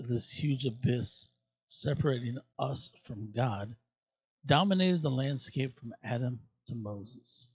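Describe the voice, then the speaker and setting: A man reading scripture aloud expressively, with emphatic, deliberate phrasing and dramatic pauses. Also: mid-range voice, husky, dull audio. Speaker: scripture reader at a church.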